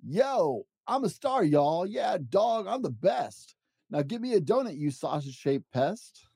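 A man's voice: sits high, very animated, a little slow, creaky, warm tone, breathy edge, no room sound, clean studio sound, pitched above the performer's own voice, exaggerated voice. Man doing a bragging show-off pug. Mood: boastful, bratty. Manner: showy, attention-hungry bragging. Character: boastful self-centered male pug dog.

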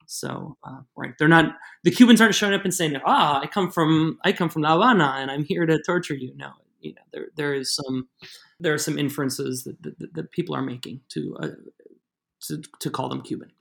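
A man's voice: a little high, a little fast, vocal fry, a bit bright. A man who is the guest on an interview podcast, explaining at length with many restarts. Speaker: guest expert.

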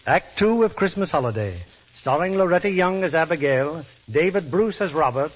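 A man's voice: a little high, expressive, normal speed, husky, rough recording. A man hosting and narrating a scripted 1940s radio broadcast in a polished, theatrical manner. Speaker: host and narrator. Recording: vintage radio, music behind.

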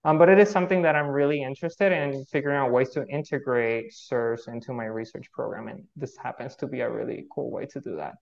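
A man from Puerto Rico, a native Spanish speaker, explains research ideas as a guest on a video call. His mid-pitched voice comes through dull, lo-fi audio. He hedges and restarts often. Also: brisk, gravelly, few pauses, darkish tone. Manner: thoughtful, many fillers and restarts. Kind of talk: casual explanatory interview.

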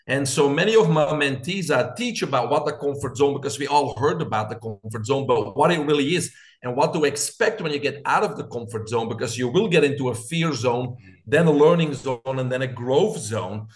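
Picture a middle-aged man with a Belgian accent, answering an interviewer with earnest conviction. He builds his points through lists, pushing each idea forward like a motivational coach. His voice sits at an ordinary middle pitch. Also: expressive, brisk. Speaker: guest, coach and biohacker. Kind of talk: interview storytelling.

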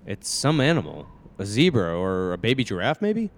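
A man's voice: fairly low pitch, very animated, normal speed. A man playing a practical, dismissive husband and father in a radio play, brusque and placating. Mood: skeptical.

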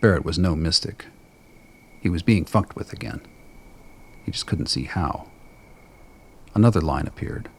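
A male story narrator with a remarkably deep voice. It is full of fry and rasp as he reads scripted dramatic prose. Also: measured pace, long pauses, a little mellow. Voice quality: gravelly, husky.

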